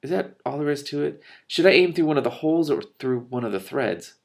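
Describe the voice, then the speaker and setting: A man's voice: fairly high pitch, lively intonation, fairly quick, vocal fry, pitched above the performer's own voice. A man reading the part of an earnest, dutiful young king in a storybook, polite and plain-spoken. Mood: puzzled, mild. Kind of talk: expressive audiobook-style character reading.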